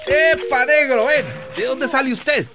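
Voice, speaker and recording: An actor playing a menacing, mocking paramilitary thug with a Colombian accent in a radio drama, taunting and insulting. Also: male voice, very high-pitched, a little slow, over music. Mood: aggressive.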